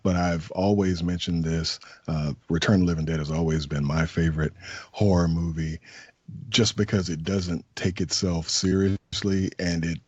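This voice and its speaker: Male podcast host, deep and husky, easygoing chatter, lo-fi sound. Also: normal speed, dry recording. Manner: relaxed, chatty, many fillers. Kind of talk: casual movie review chat. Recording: dull lo-fi sound.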